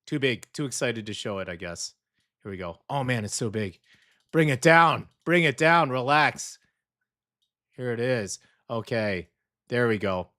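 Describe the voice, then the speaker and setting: A man's voice: mid-range voice, lively intonation, a little slow, sharp tone. Upbeat, rambling radio host man. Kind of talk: casual radio chat. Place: radio studio.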